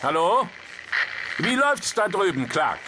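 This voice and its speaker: A testy agency chief, speaks high and with lively swings over clear noise. He is brisk and businesslike, in radio play style. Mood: tense, testy. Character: exasperated male agency chief taking a call. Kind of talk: German radio play acting.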